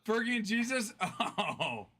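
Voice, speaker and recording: Male stream host, high pitch, jokey, laughs a lot. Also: very animated, unhurried, pushed and bright, slight background noise. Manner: joking, laughs often. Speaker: card break host. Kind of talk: casual livestream banter.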